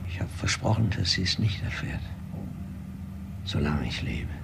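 Very gravelly dying father. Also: low-pitched, very animated, full character voice. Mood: weary, resigned. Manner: halting, subdued. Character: weary, dying father keeping a secret. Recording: noisy old drama soundtrack.

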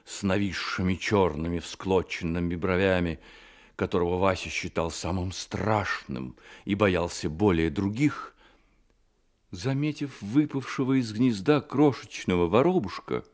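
A man narrating a literary radio drama as an expressive storyteller. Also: low voice, measured pace.

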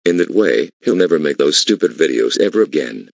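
A scheming cartoon prankster in a man's text-to-speech voice. The pitch is extremely low and swings widely, with a gravelly creak and stilted phrasing. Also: animated, brisk, no echo, heavily acted. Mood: smug, scheming. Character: scheming blue cartoon troublemaker who makes prank calls. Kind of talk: scripted animated dialogue.

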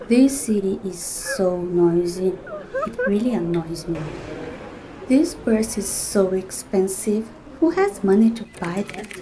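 A young woman voicing an unhappy office worker, speaking a complaining inner monologue in a weary, flat way. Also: mid-range voice, background noise. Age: young adult. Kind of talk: scripted inner-monologue narration.

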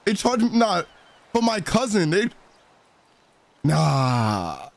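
High-pitched man, slangy gaming chat. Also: wildly swooping pitch, slow, gravelly. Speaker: streamer role-playing a suspect.

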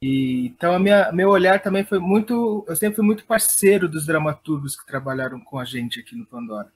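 A man with a São Paulo Brazilian accent talks in a casual interview. His voice is fairly high and noticeably husky. He feels his way through explanations with repeated words and small checks for agreement. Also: lively intonation, brisk. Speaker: guest, theatre director and playwright.

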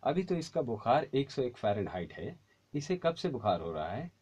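A man's voice: mid-range voice, sing-song, normal speed, a little mellow, slightly rough, dull audio. A man in his thirties reading scripts aloud for a voice-over audition into a studio microphone. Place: small indoor recording studio booth. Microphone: studio condenser mic with pop filter.

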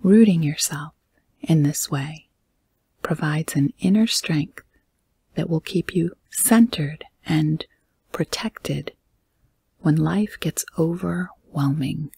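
A woman leading a guided meditation in a soft, breathy, whispery voice, speaking calmly and gently in measured phrases with long pauses. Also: deep voice, no echo. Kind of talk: guided meditation, slow soothing narration.

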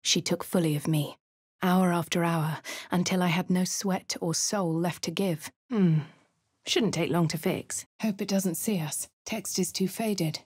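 A posh female adventurer with a posh English accent, wry and composed. Her voice sits fairly low for a woman and comes across crisp and dry. It is recorded in a dead, dry studio booth with no room sound. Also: steady tone, a little slow, a little mellow, dry recording. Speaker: voice of the player character. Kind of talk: game voice lines and narration.

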